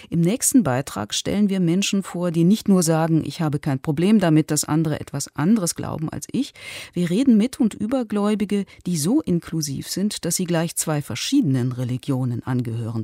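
A man, a radio presenter, reading a scripted introduction in a smooth, composed way. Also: a little high, mellow, no room sound. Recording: dry studio sound.

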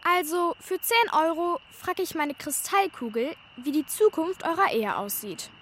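A woman's voice: extremely high pitch, animated, measured pace, a bit bright, a little hiss or hum, full character voice. A female performer playing a cheeky eleven-year-old girl fortune teller in a children's radio play. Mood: cheeky, sly.

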